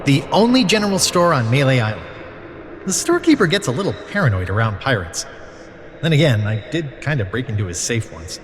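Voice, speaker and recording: A man's voice: mid-range voice, very animated, fairly quick, big-room sound, a little hiss or hum, natural voice. A male actor playing a wry, roguish pirate adventurer, musing to himself as in an adventure game. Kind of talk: adventure game character narration.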